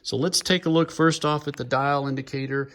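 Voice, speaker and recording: A man's voice: a little high, fairly even intonation, fairly quick, fairly bright tone. Chatty man giving a casual project walkthrough. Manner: chatty, informal, lightly joking. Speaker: hobbyist builder presenting his project. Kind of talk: casual show-and-tell walkthrough. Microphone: handheld phone.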